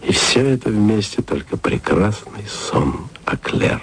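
A man playing a wry, jealous, bookish man in a radio play, musing ironically and confiding quietly to his lover. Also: lowish voice, expressive, unhurried, creaky, husky, exaggerated voice. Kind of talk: intimate radio drama monologue.

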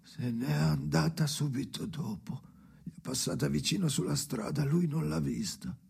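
Creaky, haunted, brooding monologuist. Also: male voice, fairly high pitch, darkish tone, full character voice. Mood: brooding, uneasy. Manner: fragmented, halting, repeating phrases, often dropping to whispers. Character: haunted brooding man narrating memories alone by the sea. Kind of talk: experimental radio drama monologue.

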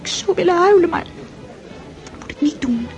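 A woman's voice: extremely high pitch, expressive, normal speed, a little mellow, highs cut off, faint music behind. An actress in a Dutch radio drama playing an eager, romantic young girl at her first grand ball, dreamy and yearning. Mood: yearning, distressed.

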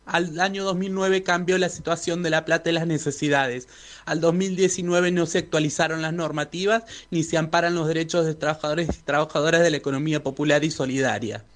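A man with an Argentine Rioplatense accent speaking as a street vendors' spokesperson, giving an earnest activist statement in formal wording. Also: fairly high pitch.

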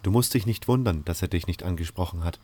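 A man's voice: sits low, fast talker, a little mellow, pitched below the performer's own voice, close to an everyday voice. A man voicing a helpful young cult novice in a fantasy audio drama, explaining things matter-of-factly and deferring to superiors. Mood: respectful, explaining.

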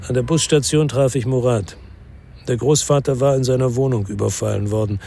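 An actor playing a world-weary, brooding ex-policeman, narrating and speaking in a literary crime drama in a measured, restrained way. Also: medium pitch, fairly even intonation, creaky, dark tone, slight background noise, lightly acted. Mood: reflective, brooding.

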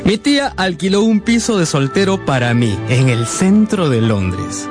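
An actor playing an earnest, sentimental young gentleman telling his own life story in a radio drama. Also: high-pitched, sing-song, a little slow. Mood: reminiscing. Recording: clear music behind the voice.

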